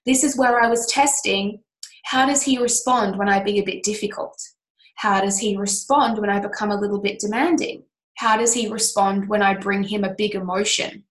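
A woman's voice: mid-range voice, steady tone, normal speed, reverberant. Woman with a cold, nasal and scratchy. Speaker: coach answering listener questions. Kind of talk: casual advice talk.

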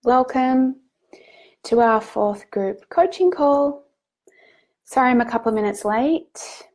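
A woman with a head cold, sounding a bit nasal, hosting a live group coaching call in a gentle, reassuring way with frequent ums and restarts. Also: on the high side, takes it slowly.

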